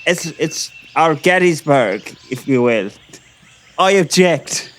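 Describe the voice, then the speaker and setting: Man voicing a slow, pompous, cowardly talking dog. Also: fairly high pitch, expressive. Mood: frightened, defiant. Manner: pompous, theatrical protesting. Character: fearful, dramatic talking male dog, easily bribed. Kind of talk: comedic audio drama acting.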